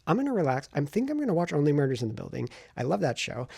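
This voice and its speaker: Male writing vlogger, casual and effusive, fry-laden husky voice, close dry sound. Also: a little high, very animated, very fast, a little mellow, dry recording. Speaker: novelist vlogger documenting writing progress. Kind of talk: casual vlog chat to camera.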